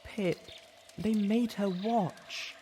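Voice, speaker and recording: Female unicorn healer male reader, high and hushed, slow whimper, grief-stricken, fantasy audiobook. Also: fairly even intonation, a little hiss or hum.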